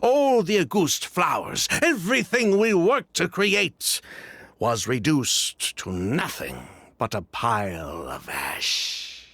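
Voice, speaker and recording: Bitter ex-merchant, guarded and blunt, animated pitch, dry booth. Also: male voice, slow pace, slightly rough, dry recording, heavily acted. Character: wary former merchant man, resentful ex-convict. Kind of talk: video game voice acting.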